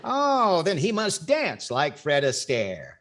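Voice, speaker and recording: A man's voice: a little high, wildly swooping pitch, takes it slowly, a bit bright, full character voice. A man playing a wry, skeptical radio comedy star in 1940s style, with theatrical timing.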